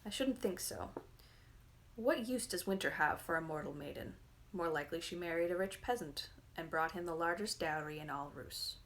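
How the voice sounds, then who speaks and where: A woman's voice: lowish voice, normal speed, close to an everyday voice, rough recording. A woman playing an austere, matter-of-fact storyteller talking to a child in a book reading. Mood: dry, practical.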